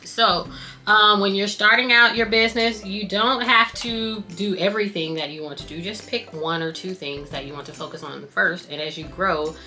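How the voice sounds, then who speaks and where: Bright-voiced woman vlogger, voice going. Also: medium pitch, normal speed, faint music behind. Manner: chatty, reads tips off cue cards while sewing. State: losing her voice. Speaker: scrunchie business owner and channel host. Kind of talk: casual tutorial vlog.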